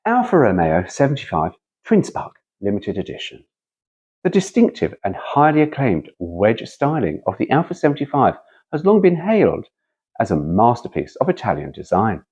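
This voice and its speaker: Husky man, wildly animated pitch. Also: normal speed, muffled recording. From United Kingdom. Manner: rambling, playful, frequent self-interrupting asides. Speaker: car vlog host. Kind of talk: casual chat mixed with reading a brochure aloud.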